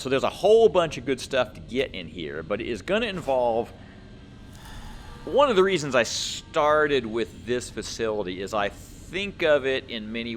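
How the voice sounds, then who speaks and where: A man hosts a let's play in a somewhat low voice. He explains things casually and thinks aloud in a rambling way, over faint background noise. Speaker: gamer, let's play host. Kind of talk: casual gameplay commentary.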